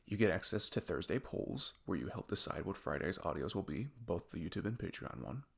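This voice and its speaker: A man with a creaky low voice speaking casually to his listeners as an audio creator making an announcement. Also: sing-song, fairly quick. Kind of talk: casual spoken channel announcement.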